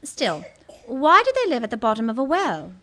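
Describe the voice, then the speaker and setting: A woman's voice: high-pitched, wildly swooping pitch, moderate pace, sharp tone, heavily acted. A woman playing a polite, puzzled, plucky young girl in live radio theatre, prim and full of questions. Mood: curious, polite. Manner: prim, questioning, hesitant yet forthright.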